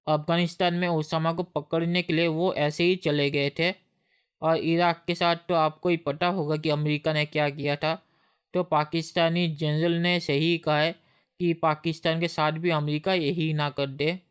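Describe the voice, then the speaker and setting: Flat, smooth-voiced male commentator. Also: a little high. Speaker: YouTube commentator. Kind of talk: monotone scripted commentary.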